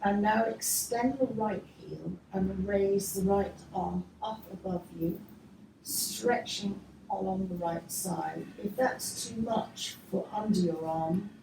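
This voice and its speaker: A woman teaching a guided yoga relaxation class, speaking gently and letting her phrases trail off softly. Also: mid-range voice, vocal fry. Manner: gentle, unhurried instructing with soft trailing phrases. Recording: distant mic, echoey room, faint noise.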